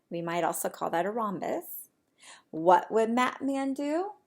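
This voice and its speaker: Preschool teacher lady reading a kids book out loud, slow, kinda high voice, singsongy, asks the kids questions. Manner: sing-song, expressive, addressing children with questions. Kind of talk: read-aloud of a children's picture book to young children.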